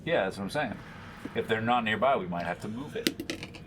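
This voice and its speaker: A man's voice: on the low side, animated, moderate pace, noisy background. A man playing a tabletop game, chatting casually and jokingly with slangy, profane wording.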